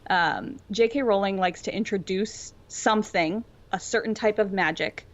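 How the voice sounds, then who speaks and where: A woman's voice: mid-range voice, moderate pace. A dull, lo-fi recording of a woman with a mid-range voice. She talks casually, like a podcast co-host breaking down a fan theory. Her phrasing is informal and explanatory, full of "like". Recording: dull lo-fi audio.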